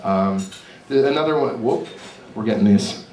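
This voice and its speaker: Male artist speaking live to slides, animated and candid, captured from afar with faint background noise. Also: mid-range voice, normal speed. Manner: candid, self-deprecating, animated storytelling. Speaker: author and comic artist presenting his work. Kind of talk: informal live talk with slides. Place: indoor cafe event space. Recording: roomy, distant mic, faint background noise.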